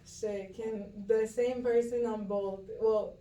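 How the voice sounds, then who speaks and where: A mellow-voiced young woman talks casually and candidly, thinking aloud in slangy speech. She is picked up from a distance in an echoey room, with a faint hum behind her. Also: a little high, fairly even intonation, takes it slowly. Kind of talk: casual chat.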